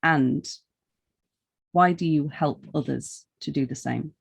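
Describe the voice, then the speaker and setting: A woman's voice: low voice, slow pace, creaky, husky. A woman talking casually and informally as an interviewer on a podcast.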